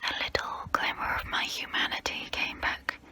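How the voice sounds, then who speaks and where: A woman playing a centuries-old female vampire, guilt-ridden and gentle yet predatory, in an intimate, breathy, halting ASMR role-play whisper. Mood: remorseful. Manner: slow, halting, intimate, soothing. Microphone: close-up microphone.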